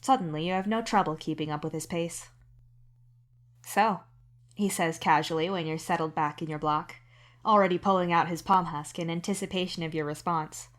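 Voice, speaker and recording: Female story narrator for fiction podcast, lowish register, scripted second-person read. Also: steady tone, fairly quick. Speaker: podfic narrator and author.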